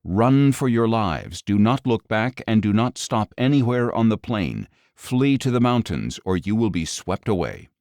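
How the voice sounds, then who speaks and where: A man's voice: fairly low pitch, sing-song, measured pace, hardly any pauses, darkish tone, dry recording, clean studio sound. A man voicing a firm, urgent angel who brings divine judgment, in an audio Bible story.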